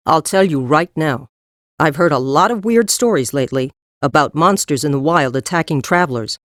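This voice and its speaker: A woman's voice: deep voice, expressive, moderate pace, no room sound, studio quality. A woman acting a tough but kindly horse trader in a full-cast audiobook, blunt, practical and no-nonsense. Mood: businesslike, stern.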